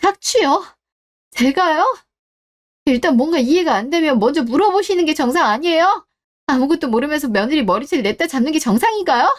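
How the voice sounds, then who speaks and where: A woman's voice: high-pitched, animated, brisk, pitched above the performer's own voice. A woman playing a caring but indignant young wife in a scripted radio drama, polite yet firm.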